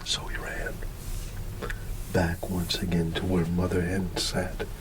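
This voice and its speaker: A man reading a bedtime story in ASMR style, hushed and drawn out, sometimes dropping into a whisper. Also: sits low. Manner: hushed, unhurried, drawn-out words with playful asides. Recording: steady background ambience noise. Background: ambient background sound.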